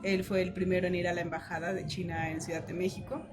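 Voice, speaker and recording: A woman with a Mexican accent telling a personal story casually as an interview guest, animated when she retells exchanges. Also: lowish voice, fast, music in the background.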